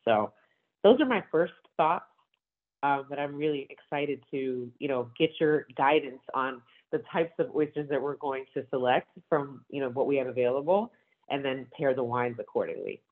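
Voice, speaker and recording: Animated woman over a tinny line. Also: rumbling low, normal speed. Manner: enthusiastic, frequent laughs and asides. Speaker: wine bar owner. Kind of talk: casual conversation. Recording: tinny phone-like sound.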